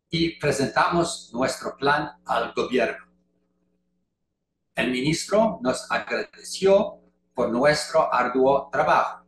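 Slow older man speaking carefully. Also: fairly high pitch. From Canada. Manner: slow, deliberate delivery in a second language. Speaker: conference speaker. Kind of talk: prepared lecture. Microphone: video call webcam. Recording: echoey room, faint noise.

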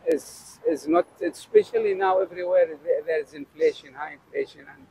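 An older man from Arabia, answering a street interview in a slow, halting way on a city street into a handheld mic. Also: sits high, wildly swooping pitch, vocal fry, mellow, a little hiss or hum, rough recording. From Saudi Arabia. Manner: slow and halting, searching for words. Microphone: handheld mic held toward him.

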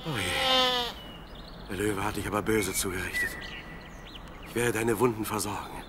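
An actor playing a plucky, devout young shepherd, the youngest brother, with earnest, dramatic delivery in an audio drama. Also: male voice, fairly low pitch, very animated, measured pace. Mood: relieved, tender. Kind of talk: German audio drama acting. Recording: clearly noisy radio play with sheep sounds.

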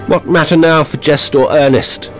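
A man's voice: a little high, fairly even intonation, moderate pace, soft background music, pitched above the performer's own voice, natural voice. A man voicing a frenzied, haggard nobleman with curt, archaic speech in a horror story. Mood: grim.

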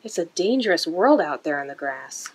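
A woman reading a children's picture book aloud in an expressive, deliberate way for young listeners, recorded indoors. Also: on the low side, breathy edge. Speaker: children's story-time reader for a museum. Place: indoor room.

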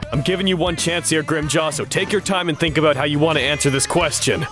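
A man's voice: on the high side, fairly even intonation, fast, natural voice. A man playing a grim, resolute anime fighter with stern menace, in a parody fan dub. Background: music.

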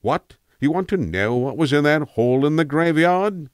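A man's voice: fairly high pitch, lively intonation, normal speed, clean tone, no echo, clean studio sound, pitched above the performer's own voice, lightly acted. A man voicing an eccentric, opinionated old tramp telling a rambling tale in an emphatic, self-assured way, as an audiobook character. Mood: teasing, eccentric. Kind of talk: acted audiobook character monologue.

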